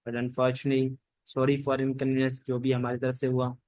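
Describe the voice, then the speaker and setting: A man's voice: mid-range voice, monotone, takes it slowly, a little mellow, dry recording. A man teaching a class in an informal, rambling lecture style, often repeating stock phrases. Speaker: teacher giving an online law class. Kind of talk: informal lecture mixing Hindi-Urdu and English legal terms.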